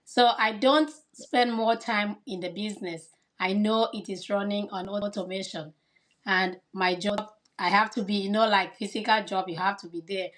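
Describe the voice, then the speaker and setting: A woman's voice: mid-range voice, fairly even intonation, moderate pace, a bit bright. A woman from Cameroon, a kindergarten teacher, answering interview questions in an earnest, explanatory way.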